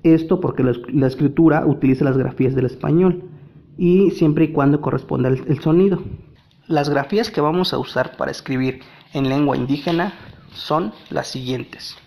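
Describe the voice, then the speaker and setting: Gravelly-voiced man teaching a language lesson. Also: fairly high pitch, normal speed, warm tone. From Mexico. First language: Nahuatl.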